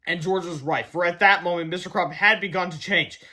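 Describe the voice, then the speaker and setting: Male storybook reader, high voice, expressive children's read-aloud delivery with the odd stumble. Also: brisk. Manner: expressive, stumbles over some words.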